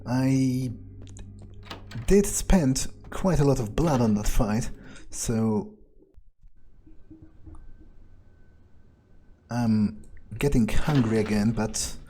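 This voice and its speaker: A man playing a cold, cynical vampire, thinking aloud in a nonchalant, halting way as he plays through a game. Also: medium pitch, warm tone, a little hiss or hum, close to an everyday voice. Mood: detached musing. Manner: nonchalant, hesitant musing. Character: cold, cynical male vampire, casually murderous. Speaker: game streamer role-playing his character. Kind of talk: in-character playthrough monologue, thinking aloud.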